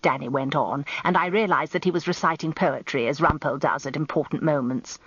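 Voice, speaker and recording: An actress playing a genteel, self-important middle-aged English wife, narrating a radio drama in an arch, confiding, expressive way. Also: female voice, sits low, a little fast, breathy edge, no echo, lo-fi sound. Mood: flustered, confiding.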